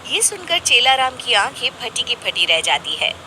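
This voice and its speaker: Bright, expressive woman storyteller. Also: fairly high pitch, moderate pace, slight background noise. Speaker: narrator. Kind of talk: animated children's moral story narration.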